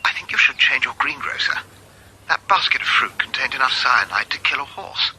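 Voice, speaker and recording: An actor playing an eager analyst phoning in findings in a radio drama, heard through a telephone effect. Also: male voice, mid-range voice, brisk, pushed and bright, husky, full character voice, low-quality recording. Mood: brisk, helpful.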